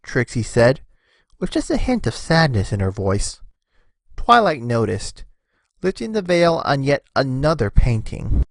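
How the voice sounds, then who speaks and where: Animated male storybook narrator, dry sound. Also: medium pitch, unhurried, creaky, no room sound. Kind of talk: expressive scripted story narration.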